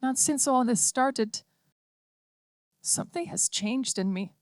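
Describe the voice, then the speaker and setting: A woman voicing a six-year-old android girl who speaks gently and earnestly, as an audiobook reader puts her on. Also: high-pitched, takes it slowly, dry recording, voice pushed up. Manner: gentle, pleading.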